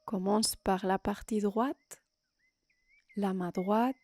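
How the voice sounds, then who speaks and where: A woman guiding a meditation as a yoga nidra teacher, in short, unhurried phrases with long pauses, giving soothing instructions. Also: medium pitch, steady tone, dark tone, no echo.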